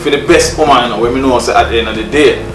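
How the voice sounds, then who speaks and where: A man's voice: medium pitch, racing. A man from Jamaica with a Jamaican accent, chatting casually as a guest, laughing often and repeating phrases. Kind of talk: casual conversation. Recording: echoey room, distant mic, music.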